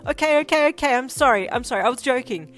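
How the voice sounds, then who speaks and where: A woman's voice: mid-range voice, normal speed, a bit bright, lightly acted. A woman playing a flustered, teasing young lover in anime fan fiction, given to stammering, pleading and blurting. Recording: music behind the voice.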